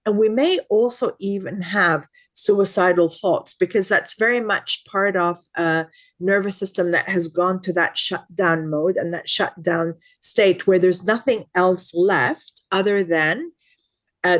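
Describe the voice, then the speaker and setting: A woman's voice: on the low side, a little slow. A woman in her fifties from Lebanon, a guest expert in a podcast interview, speaking earnestly and repeating words for emphasis.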